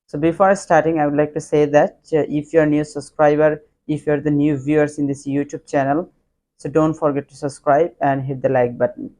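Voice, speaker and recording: A man's voice: on the high side, a little mellow. Man teaching simple English. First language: Bengali. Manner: repeats example sentences in drills, simple phrasing. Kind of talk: online language lesson.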